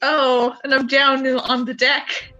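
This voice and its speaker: A woman's voice: high voice, little pitch movement, slow pace, sharp tone, muffled recording. A woman bantering casually as a player in a tabletop role-playing game.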